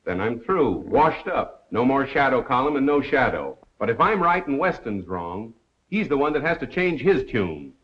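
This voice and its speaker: A man playing a confident, wisecracking crime columnist in a brisk, polished 1930s film acting style. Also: mid-range voice, very animated, a bit bright. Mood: earnest, defiant. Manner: brisk, self-assured. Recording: dull lo-fi 1930s film soundtrack.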